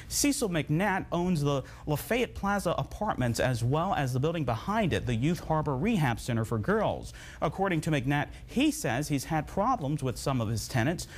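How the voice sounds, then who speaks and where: A man with an American accent reading scripted news as an anchor. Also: a little high.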